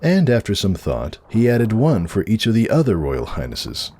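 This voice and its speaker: A man's voice: on the low side, huge pitch swings, moderate pace, dark tone, breathy edge. A man reading a story aloud as a narrator, in a scripted dramatic storytelling style. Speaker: fan fiction narrator.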